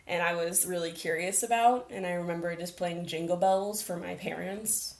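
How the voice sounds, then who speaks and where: An earnest young woman speaking in a somewhat low, grounded voice as an interview guest. She talks reflectively and often breaks into light laughter. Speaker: programs manager, guest. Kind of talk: interview conversation.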